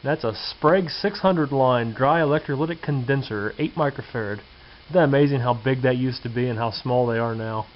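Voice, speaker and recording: A man giving a casual, hands-on show-and-tell as a hobbyist presenter, musing aloud in a folksy way on a handheld camera mic in a workshop. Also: mid-range voice. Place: indoor home workshop or garage. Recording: dull lo-fi camcorder audio with hiss.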